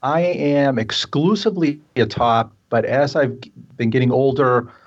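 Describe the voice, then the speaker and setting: Fifties male guest, halting and self-deprecating, heard over a dull remote line. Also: mid-range voice. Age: 50s. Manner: hesitant, repeats words, self-deprecating. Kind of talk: casual chat on a call-in show. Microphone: remote call line. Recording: dull lo-fi online call audio.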